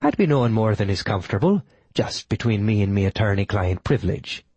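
A man's voice: fairly low pitch, very animated, brisk, darkish tone, slightly rough, no echo, exaggerated voice. A man voicing a wry, courteous shopkeeper hero in a put-on Irish accent. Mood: guarded, courteous.

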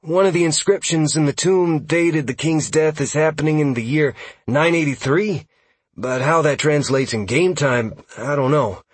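Man acting out male elf scout, raised pitch, nervous, eager, hesitant, apologetic, fantasy audiobook dialogue. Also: moderate pace, slightly rough, dry recording. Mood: eager, explanatory.

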